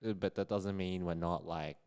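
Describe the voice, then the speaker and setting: A man's voice: sits low, fairly even intonation, normal speed, a little mellow, clear, resonant tone. A young man, co-hosting a podcast in a chatty, filler-heavy way. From born in the Philippines, raised in Australia. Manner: chatty, lots of fillers and laughs. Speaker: podcast co-host. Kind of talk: casual chat.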